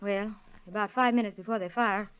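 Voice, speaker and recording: A woman's voice: medium pitch, fairly even intonation, brisk, smooth, close to an everyday voice. Actress as a guarded, tearless young mother, muffled recording. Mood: guarded, subdued. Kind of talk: 1930s radio acting. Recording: muffled vintage radio recording.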